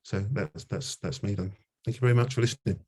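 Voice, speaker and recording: A man's voice: on the low side, brisk, dark tone, breathy edge. A man presenting his art at a gallery talk, hesitant and full of fillers and restarts. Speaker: artist presenting his work. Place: gallery talk before a live audience.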